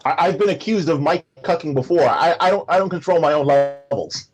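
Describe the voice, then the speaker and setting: A man with a New York accent and a big booming voice, arguing on a livestream call, halting and restarting his sentences. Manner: halting, restarts a lot, defensive. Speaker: livestream guest. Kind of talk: casual livestream debate.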